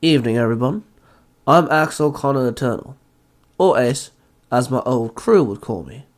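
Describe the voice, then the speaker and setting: An actor voicing a wry, knowing pirate first mate in a suave, theatrical way for an audio drama. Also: male voice, mid-range voice, lively intonation, normal speed, lightly acted.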